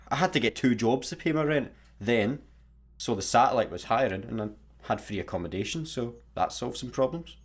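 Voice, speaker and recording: A man's voice: medium pitch, lively intonation, moderate pace, breathy edge. A man playing a grumpy, exasperated space satellite worker in a sci-fi comedy radio play, with a sarcastic, grumbling manner. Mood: glum.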